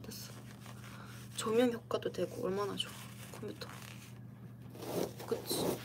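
Giggly young woman chatting slowly on a livestream. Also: mid-range voice, steady tone, noisy background. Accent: Korean dialect. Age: young adult. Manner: giggly, playful, talks to viewers' comments. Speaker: idol streamer. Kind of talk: casual livestream chat. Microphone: computer livestream mic.

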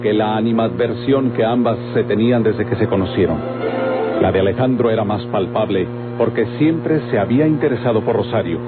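A man with a Mexican accent delivering scripted dramatic narration for a golden-age radio serial. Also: fairly low pitch. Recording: tinny old radio recording, loud music.

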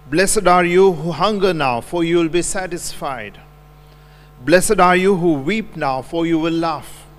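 Priest reading in a reverberant church. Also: male voice, on the high side. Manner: measured, solemn liturgical reading. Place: large church, at the altar. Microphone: stand mic at the altar, filmed from a distance. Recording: long church reverb, faint hum.

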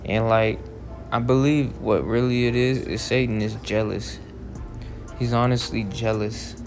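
Gravelly-voiced young man, rambling. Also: medium pitch, steady tone, a little slow, soft background music. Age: 20s. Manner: meandering, repeats phrases, frequent restarts. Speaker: religious vlogger. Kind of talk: rambling monologue over still images. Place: voice-over, not in pictured scene.

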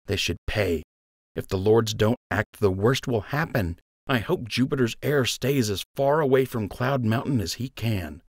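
A man's voice: on the low side, animated, a little slow, dry recording, studio quality, voice pushed up. A man playing an earnest, intense young male rabbit archer in an audiobook. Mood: indignant.

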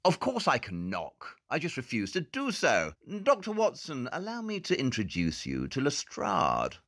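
A man playing a brisk, condescending, sardonic detective in an audio play, with clipped, haughty, theatrical delivery. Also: medium pitch, huge pitch swings, a bit bright, no echo, clean studio sound, heavily acted.

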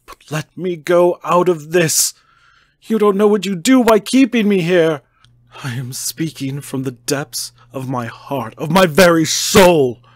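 Man voicing a desperate male asylum inmate, pleading, frantic, high, dry close sound. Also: unhurried, no echo, lightly acted. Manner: pleading, desperate, rising to cries. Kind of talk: audiobook character reading.

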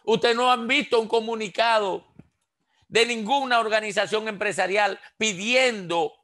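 A man's voice: sits high, steady tone, normal speed, bright, forceful tone. A man in his sixties with a Dominican accent, giving impassioned political commentary over a headset on a video call, full of rhetorical questions. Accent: Dominican Spanish. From Dominican Republic. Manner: emphatic, rhetorical questions, gestures while talking. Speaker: political commentator on a radio panel. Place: small indoor room.

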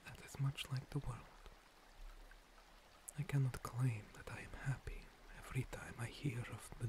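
Man as a slow, whispering ancient monster priest. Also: mid-range voice, natural voice. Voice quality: breathy, whispery. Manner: slow, soothing, halting pauses. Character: ancient gentle monster priest, scarred former paladin. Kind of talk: whispered ASMR roleplay. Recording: water streaming and pouring behind. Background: running water.

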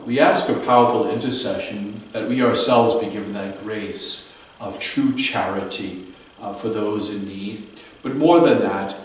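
Priest, 50s, low voice, chatty homily storytelling, echoey chapel, picked up from across the room. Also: male voice, recorded from a distance, slight background noise. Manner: conversational storytelling, fillers and restarts. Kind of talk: daily homily. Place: small chapel. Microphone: far camera.

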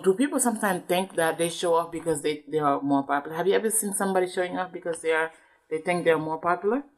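A woman's voice: fairly low pitch, fast talker, rough recording. A woman reading aloud to a child as a parent, explaining things and checking understanding with questions.

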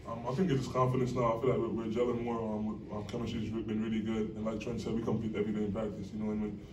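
A young male athlete answers earnestly, rambling through fillers in a low, flat voice. He talks quickly. The recording is distant and roomy, with a clear steady noise underneath. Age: young adult. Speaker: college basketball player. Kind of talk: postgame press conference answers. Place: press conference room. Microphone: table mic, recorded from across the room. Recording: echoey room, distant mic, steady noise.

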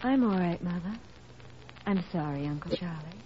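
A woman's voice: on the low side, moderate pace, close to an everyday voice. An actress playing an earnest, anxious, determined teenage girl in 1940s radio drama, pleading and melodramatic. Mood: cold, resolute. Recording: muffled, slightly noisy 1940s radio broadcast.

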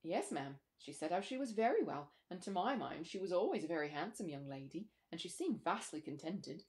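A woman's voice: fairly low pitch, animated, a little fast, a little mellow, natural voice. Woman reading as rambling, deferential country manservant. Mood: earnest, deferential. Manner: respectful, rambling, uneducated country grammar. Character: deferential, talkative rustic manservant, male. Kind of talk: storybook character dialogue.

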